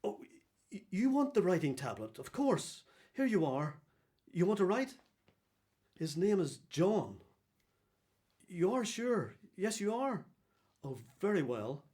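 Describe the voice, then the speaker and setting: An actor playing a fussy, hesitant synagogue official with a questioning, easily flustered manner in a radio play. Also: male voice, sits high, big pitch swings, slow pace, pauses often, dark tone, full character voice.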